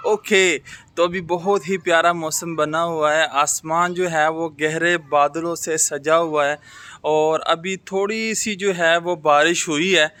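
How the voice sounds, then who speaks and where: A man's voice: fairly high pitch, fairly even intonation, takes it slowly, pushed and bright, clear, resonant tone. A man vlogging in a casual, reflective, advice-giving way, mixing English words into his speech. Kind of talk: casual chat to camera. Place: outdoors in rainy weather.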